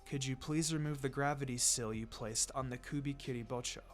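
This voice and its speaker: A man's voice: mid-range voice, steady tone, a little fast, faint music behind, voice pushed down, lightly acted. Man as a courteous, formal masked ninja. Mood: calm, formal. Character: polite, formal masked young ninja hunter.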